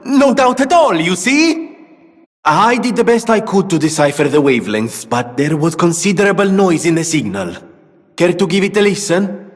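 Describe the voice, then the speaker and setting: An actor playing an eccentric, earnest gentleman inventor in a video game, speaking in a theatrical, wordy way. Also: high-pitched, big pitch swings, normal speed, big-room sound. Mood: urgent, excited. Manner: theatrical, verbose, exclamatory. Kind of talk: video game character dialogue.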